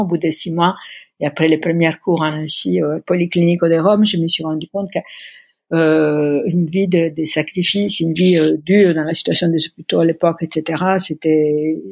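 A woman's voice: deep voice, darkish tone, breathy edge, no room sound. A middle-aged woman from Italy, speaking with an Italian accent in a podcast interview, hesitant and often searching for words. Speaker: interview guest.

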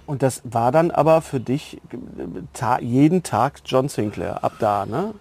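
A man's voice: mid-range voice, expressive, measured pace, a little mellow. A man co-hosting a podcast interview, asking and commenting in a casual chatty style.

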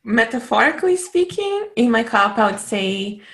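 A woman's voice: on the high side, big pitch swings, normal speed, a bit bright. A woman in her thirties from Brazil, a native Portuguese speaker, sharing her story casually and reflectively as an interview guest. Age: 30s.

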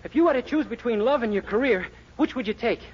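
A man's voice: extremely high pitch, expressive, fast, darkish tone, slightly rough, exaggerated voice. A man playing a naive, eager young store clerk with muddled logic in a 1940s radio comedy. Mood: earnest, innocent. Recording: dull, noisy old radio recording.

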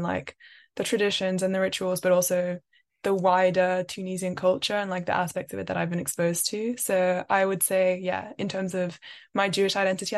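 Young woman, reflective, chatty, frequent fillers. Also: mid-range voice. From France, raised in London. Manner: thoughtful, many "like" and "um" fillers. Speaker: guest. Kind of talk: reflective interview talk.

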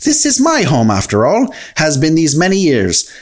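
A man's voice: a little high, wildly swooping pitch, moderate pace, voice pushed up. A man doing a feisty little leprechaun, swooping voice. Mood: indignant. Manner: indignant, quaint old-fashioned phrasing. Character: feisty little old Irish leprechaun man, proud and grumpy. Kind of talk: children's storybook character voice.

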